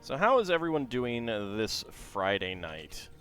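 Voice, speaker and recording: A man's voice: medium pitch, very animated, a little slow, a bit bright, soft background music. A man casually chatting to his viewers while building a Lego set, reading facts aloud and talking to chat.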